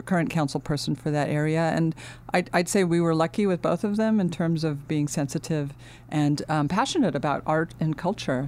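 A middle-aged woman from Finland, a guest in an interview, speaking earnestly and thoughtfully with many pauses and restarts. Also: deep voice, a little mellow, clean tone. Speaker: guest, architecture dean. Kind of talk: casual interview talk.

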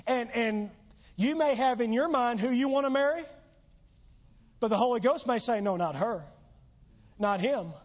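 A man's voice: very high-pitched, moderate pace, long pauses. A pastor preaching a sermon in church, losing his voice, with earnest, emphatic exhortations. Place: church sanctuary. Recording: dull lo-fi church recording.

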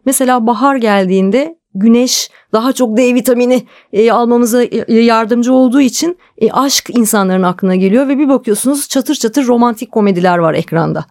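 A woman's voice: fairly high pitch, a little fast, hardly any pauses, a little mellow. A woman speaking as an interview guest, explaining her thoughts in a conversational, reflective style. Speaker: interview guest, television drama producer.

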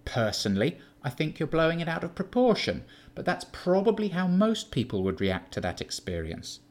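A man's voice: on the high side, sing-song, moderate pace, pitched above the performer's own voice. A man voicing a callous, condescending male drug dealer who lectures irritably in a raised voice. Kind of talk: audiobook character voice.

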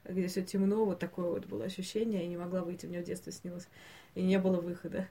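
A woman co-hosting a podcast, talking casually about animated films in a hesitant, thinking-aloud way, given to chuckling. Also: fairly low pitch, fast talker, breathy edge. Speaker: podcast co-host.

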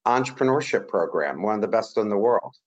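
A man's voice: on the low side, animated, brisk, highs cut off. A man hosting a business interview show, enthusiastic and a bit hesitant, with fillers. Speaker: podcast host. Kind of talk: interview host chat.

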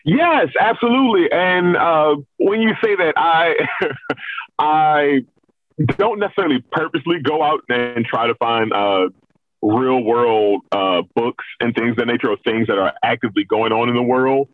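A man's voice: mid-range voice, normal speed, no echo. A middle-aged American man talking candidly and casually in an interview, with self-deprecating humour. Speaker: host of a children's story reading show, being interviewed. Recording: thin, phone-like call audio.

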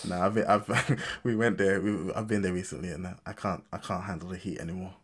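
A man's voice: deep voice, fairly even intonation, brisk, husky. A man bantering casually as a podcast co-host.

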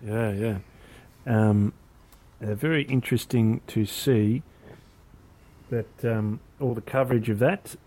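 A man's voice: lowish voice, slow pace, pauses often, mellow. An Australian man hosting a cricket podcast, joking with his mates and rattling off mock introductions and lists. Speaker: podcast host. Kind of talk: casual banter with mates. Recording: online call audio.

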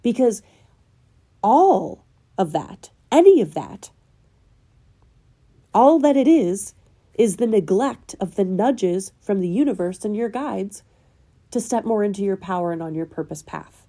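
A woman's voice: medium pitch, animated, unhurried, pauses often, dark tone. A woman hosting her own podcast, delivering an earnest spiritual self-help monologue full of probing questions, sounding a little nasal as she gets over a cold. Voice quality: slightly nasal. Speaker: podcast host.

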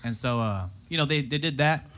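A man's voice: medium pitch, a little fast, a bit bright. A man in his thirties co-hosting a casual podcast, rambling and swearing with plenty of fillers. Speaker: podcast co-host.

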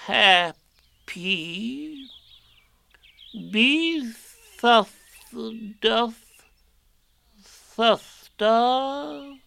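Storybook owl, voiced by a male reader: self-important, slightly anxious, very slow and halting, pitched well up. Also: vocal fry, pushed and bright, clean tone, heavily acted. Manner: deliberate, halting, pompous. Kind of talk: children's storybook character voice.

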